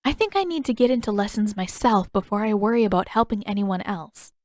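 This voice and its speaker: A woman's voice: medium pitch, animated, brisk, darkish tone, no room sound, clean studio sound, close to an everyday voice. A woman voicing an earnest, determined teenage girl in an audiobook story, hesitant at times yet stubborn. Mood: unsure.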